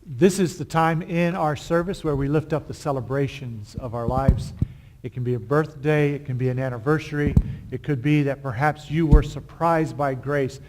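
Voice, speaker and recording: A man's voice: a little high, moderate pace, a little mellow, slight background noise, poor audio. A man leading a church service, inviting members to share celebrations and thanking each one. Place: church sanctuary.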